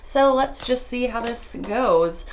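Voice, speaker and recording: A woman beauty vlogger chatting casually while doing her morning makeup, her voice raspy from just waking up, a little nervous and rambling, tripping over her words and laughing at herself. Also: fairly high pitch, expressive, slow pace, a little hiss or hum. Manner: nervous, self-deprecating, rambling with stumbles. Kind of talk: chatty get-ready-with-me monologue.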